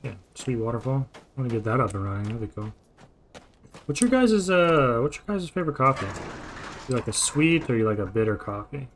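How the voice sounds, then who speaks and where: A young man chatting idly over his gameplay, often trailing off into quiet mumbles. His voice sits at an ordinary middle pitch. A faint hiss runs under the recording. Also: big pitch swings, fairly quick. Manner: mumbled, low-key musing to himself. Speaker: gaming streamer. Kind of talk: relaxed let's-play commentary.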